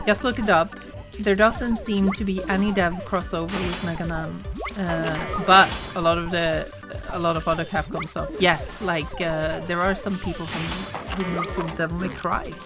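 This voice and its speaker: A woman's voice: lowish voice, fairly even intonation. A woman, chatting casually and rambling while playing a game on a stream. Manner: chatty, rambling, quick to laugh. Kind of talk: casual gaming stream chat. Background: game music.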